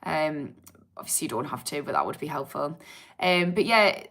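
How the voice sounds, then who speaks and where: A woman's voice: low voice, animated, moderate pace, fairly bright tone. An English woman with a Geordie accent and a slightly posh twang, chatting candidly and informally on a livestream as an addiction therapist answering viewers. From England. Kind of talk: casual livestream chat, reading and answering viewer comments.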